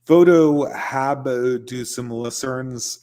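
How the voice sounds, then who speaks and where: A man's voice: mid-range voice, takes it slowly, mellow. A man hosting a casual livestream, answering viewer questions in loose, conversational talk and chuckling between points.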